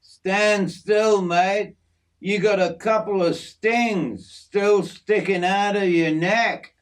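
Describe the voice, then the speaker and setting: A man's voice: high voice, fairly even intonation, takes it slowly, pitched above the performer's own voice. A man voicing a hearty, teasing bushman in a told story, blunt and matey. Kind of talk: acted character in a narrated memoir.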